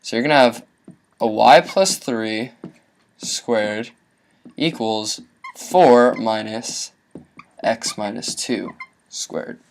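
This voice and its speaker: A man's voice: lowish voice, extremely slow, creaky, pauses often. A young man presenting a math tutorial, explaining each step in an instructional way.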